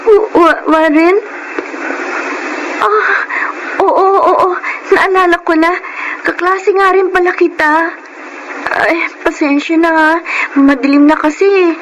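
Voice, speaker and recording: A shy, earnest young Filipina speaks in a high voice. It is radio drama acting, with clear background noise. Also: female voice, steady tone, slow pace, pauses often. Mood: startled, apologetic. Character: shy, earnest teenage daughter, later a grown doctor.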